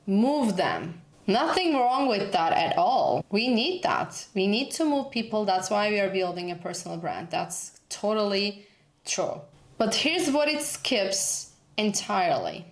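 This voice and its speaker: Woman, thirties, Turkish accent, unhurried and emphatic, echoey room. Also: medium pitch. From Turkey. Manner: earnest, emphatic, repeats for emphasis. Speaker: personal branding coach. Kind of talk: motivational talk to camera.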